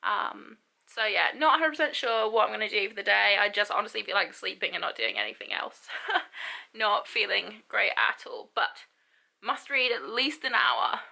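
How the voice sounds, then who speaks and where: Give me a chatty young woman with a bright, gravelly voice. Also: mid-range voice, lively intonation, moderate pace. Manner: rambling, lots of ums and repeats. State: stuffy, sore throat, lethargic. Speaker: book vlogger. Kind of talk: casual vlog talk to camera.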